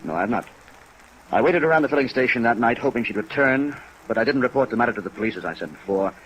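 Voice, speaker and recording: A man's voice: medium pitch, fast, natural voice, rough recording. An actor playing an earnest, dignified older American man jilted by his fiancée, speaking formally in 1950s radio drama style. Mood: defensive, earnest. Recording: 1950s radio recording with rain noise. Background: steady rain sounds.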